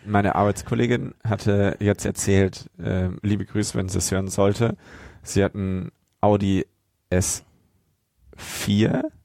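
A man's voice: lowish voice, fairly even intonation, takes it slowly, gravelly, dark tone, no room sound, studio quality. A man, co-hosting a podcast and telling casual anecdotes. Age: 30s. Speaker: podcast co-host.

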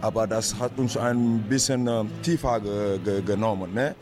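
A man from Senegal, a native Wolof speaker, interviewed as a drummer, speaking haltingly and searching for words. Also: on the low side, over music. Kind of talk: casual interview.

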